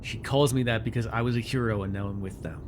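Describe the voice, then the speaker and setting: A man's voice: lowish voice, background noise, close to an everyday voice. A man playing a bitter, commanding telepathic villain who was once a hero, speaking with sardonic deliberation in an ASMR superhero roleplay. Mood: sardonic, irritated. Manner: sardonic, deliberate, addressing a captive.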